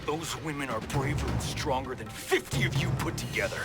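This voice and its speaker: An actor playing a brash, wisecracking middle-aged action hero soldier in a video game cutscene. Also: male voice, mid-range voice, expressive, fairly quick, over loud music, close to an everyday voice. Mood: defiant. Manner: cocky, wisecracking.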